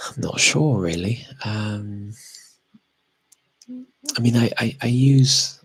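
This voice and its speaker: A man's voice: lowish voice, slow pace, slightly rough, poor audio. A man chatting casually and unscripted as he answers audience questions as a language expert, often hesitating and correcting himself as he thinks aloud.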